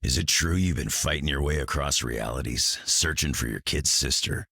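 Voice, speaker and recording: A man playing a gruff, steadfast veteran soldier in a video game, with an American accent and a tough, plain-spoken manner. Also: a bass voice, moderate pace, bright, forceful tone, dry recording, studio quality, heavily acted. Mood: gruff, sincere. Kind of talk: video game character voice acting.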